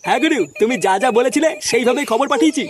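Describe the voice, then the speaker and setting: Man voicing a very fast-talking cartoon henchman. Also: extremely high pitch. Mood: eager. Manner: eager, deferential. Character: obedient young male henchman of gang boss. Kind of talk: comic cartoon voice acting.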